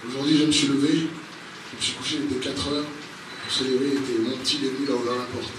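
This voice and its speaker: A man's voice: mid-range voice, fairly even intonation, quick pace. A man preaching and praying as a pastor to a congregation, speaking spontaneously and emphatically with repeated exhorting phrases. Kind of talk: spontaneous charismatic sermon with prayer. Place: large echoey room, church service. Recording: roomy, distant mic, noisy background.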